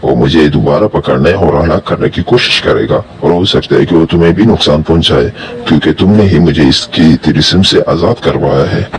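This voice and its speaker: A man's voice: extremely low pitch, normal speed. A man playing a grateful, solemn jinn in a dramatized children's story, with formal, emphatic speech. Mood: worried, grave.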